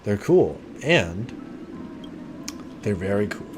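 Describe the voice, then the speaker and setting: A man streams a video game in a fairly low voice. He chats casually and thinks aloud with dry humour, while game music plays clearly behind him. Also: sing-song, takes it slowly. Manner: chatty, thinking aloud, dryly jokey. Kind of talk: casual livestream game commentary.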